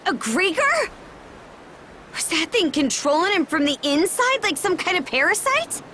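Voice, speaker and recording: A woman voicing a bold, energetic young warrior woman in a video game, with hearty battle calls. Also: very high voice, measured pace, background noise, exaggerated voice. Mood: relieved, resolute.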